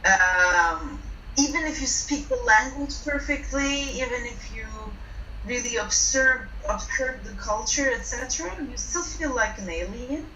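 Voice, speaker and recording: A woman from Turkey talking candidly in a wry, halting, self-interrupting way. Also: on the high side, lively intonation, sharp tone. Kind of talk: candid personal chat. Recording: echoey, distant mic, faint noise.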